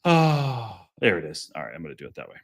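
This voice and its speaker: A man hosting a podcast, chatting casually and jokingly with live viewers in a deep, strong voice, often stumbling and restarting his sentences. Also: wildly swooping pitch, fast, fairly bright tone. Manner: rambling, self-interrupting, stumbles over words; chatty and jokey. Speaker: podcast host. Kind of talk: casual live-stream chat reading viewer comments.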